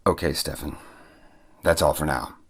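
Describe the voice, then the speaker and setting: Actor playing corrupt billionaire mayor, very low male voice, curt, commanding, audio play. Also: fairly even intonation, moderate pace, very noisy. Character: corrupt, bossy billionaire mayor, protective father. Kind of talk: audio drama acting.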